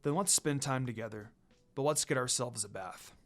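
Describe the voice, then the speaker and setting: A devoted demon lover, voiced by a man reading a story, speaks gently in hushed, tender tones. Music plays softly behind the words. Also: mid-range voice, normal speed, pitched below the performer's own voice, natural voice. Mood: gentle, devoted. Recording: music under the voice.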